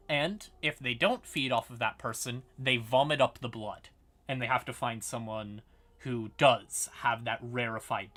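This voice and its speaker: Bright, forceful man explaining lore. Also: medium pitch. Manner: casual, explanatory, occasional swearing. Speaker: live streamer and host. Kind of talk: informal lore explainer on a livestream.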